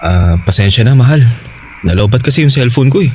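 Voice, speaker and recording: An actor playing an easygoing, evasive tricycle-driver husband in a Tagalog radio drama, casual, hesitant and placating. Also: male voice, medium pitch, animated, a little fast, warm tone, slight background noise, lightly acted. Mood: evasive, apologetic.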